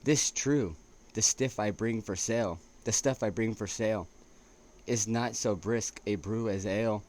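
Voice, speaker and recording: A man reading poetry aloud as an amateur, in a halting way with stumbles and restarts. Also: fairly low pitch, darkish tone. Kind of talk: poetry recitation with casual asides. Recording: noticeable background noise.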